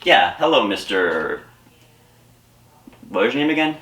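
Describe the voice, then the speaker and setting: A man playing an earnest, awkward speech therapy patient in a deadpan, wordy way. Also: fairly low pitch, measured pace, pitched below the performer's own voice.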